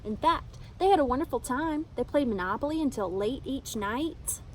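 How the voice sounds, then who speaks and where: A woman reading a children's picture book aloud in an animated, emphatic storytelling manner. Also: high-pitched, normal speed, slight background noise. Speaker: storybook reader.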